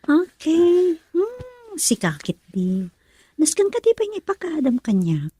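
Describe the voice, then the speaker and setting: A woman's voice: sits high, huge pitch swings, unhurried, mellow, no room sound, full character voice. A young woman playing a stubborn, lovestruck daughter in a radio drama. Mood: tender.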